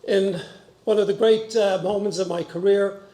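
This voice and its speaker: A man's voice: high voice, fairly even intonation, normal speed, dark tone, slight background noise. An Irish man in his seventies from Dublin, introducing himself in a speech at a podium. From Dublin, Ireland. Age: 70s. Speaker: board candidate giving a speech. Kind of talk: personal introduction speech to an audience. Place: large meeting hall with stage, indoors. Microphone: podium gooseneck microphone. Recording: echoey hall, podium microphone.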